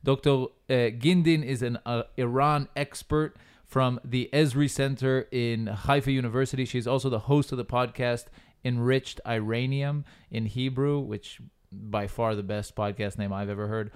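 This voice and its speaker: A man's voice: mid-range voice, steady tone, normal speed, hardly any pauses, smooth. A man hosting a podcast, reading an intro and then chatting in a joking, casual way. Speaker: podcast host.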